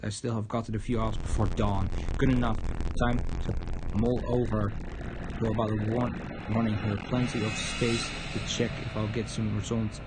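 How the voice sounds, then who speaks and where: A man's voice: fairly low pitch, very noisy. A man on a game livestream, reading visual novel text aloud and commenting, stumbling over words as he goes. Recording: loud music under the voice. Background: loud background music.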